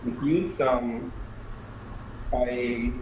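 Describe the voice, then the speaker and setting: A man's voice: medium pitch, very slow, dull audio. A man in his late twenties from Guyana with a Guyanese accent, answering interview questions hesitantly with many ums and restarts. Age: late 20s. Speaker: interview guest, youth movement founder. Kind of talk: remote interview. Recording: echoey room with clear background noise.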